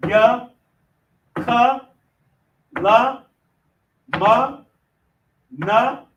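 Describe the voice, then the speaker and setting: High-pitched American man teaching, distant mic. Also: a bit bright, slight background noise. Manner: emphatic, with frequent "you know what I'm saying". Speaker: religious group officer teaching a Hebrew class. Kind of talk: informal religious language lesson to camera.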